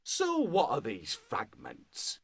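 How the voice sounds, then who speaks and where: An actor playing a gruff, proud old dwarf king in a fantasy video game, commanding and theatrical. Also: medium pitch, huge pitch swings, slow pace, dry recording, exaggerated voice. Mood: sceptical.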